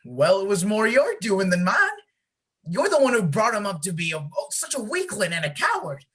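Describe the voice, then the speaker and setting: A woman's voice: mid-range voice, very animated, normal speed, slightly rough. A woman playing a beleaguered, folksy small-town man in charge of a solemn tradition, in an amateur play acted over video call. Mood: bitter, pleading. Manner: folksy, exasperated, officious. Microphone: video call microphone.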